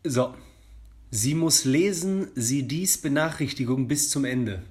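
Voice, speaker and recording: A man's voice: mid-range voice, slow, darkish tone. Man reading a scam mail on a podcast. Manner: mock-formal reading in broken German, joking. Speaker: podcast host. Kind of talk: casual podcast chat, reading a scam email aloud. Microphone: studio microphone.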